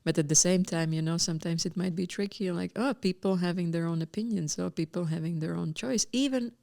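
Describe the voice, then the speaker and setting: A woman's voice: lowish voice, brisk, creaky, mellow. A woman moderating a live panel discussion, thinking aloud and rephrasing as she goes.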